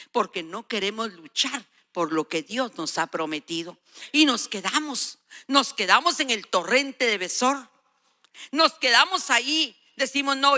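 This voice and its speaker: A woman's voice: fairly high pitch, bright, forceful tone. A woman in her sixties or older preaching a sermon, emphatic and exhorting, repeating phrases for weight. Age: 60s or older. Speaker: guest preacher.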